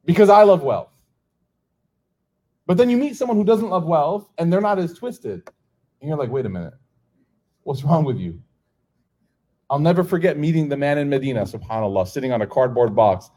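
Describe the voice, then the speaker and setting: Man, American accent, middle-aged preacher, warm mellow tone, lively storytelling, acts out dialogue. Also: fairly high pitch, a little fast, long pauses. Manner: animated storytelling, acts out dialogue, laughs often. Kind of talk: informal sermon to a live audience.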